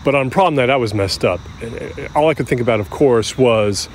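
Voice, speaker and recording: An actor playing a middle-aged American man who recalls his youth candidly, in a halting, conversational, self-deprecating way, as if interviewed for an audio drama. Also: medium pitch, a little hiss or hum, close to an everyday voice. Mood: candid, rueful. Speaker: interview subject. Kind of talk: naturalistic audio drama acting as interview reminiscence.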